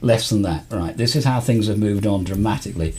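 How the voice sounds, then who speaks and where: A man's voice: lowish voice, brisk, mellow, breathy edge. A man hosting a casual live radio show, chatting and explaining in a jovial, encouraging, matey way. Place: radio studio. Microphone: studio broadcast microphone.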